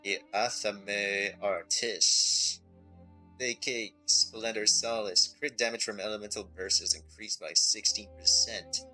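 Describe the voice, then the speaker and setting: A man's voice: on the low side, steady tone, takes it slowly, bright, forceful tone, rough recording. A man streaming a game, talking casually as he thinks aloud, reads out on-screen text and asks himself questions. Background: music.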